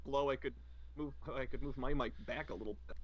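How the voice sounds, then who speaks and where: A dull, lo-fi internet call carries this man's voice. He is a podcast co-host talking casually at a middling male pitch. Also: brisk, slightly rough. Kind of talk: casual livestream podcast chat. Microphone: remote voice call microphone.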